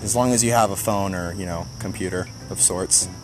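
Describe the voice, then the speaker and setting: A man's voice: low voice, steady tone, a little fast, music in the background. A man chatting casually and jokingly as a podcast co-host. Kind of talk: casual comedic chat.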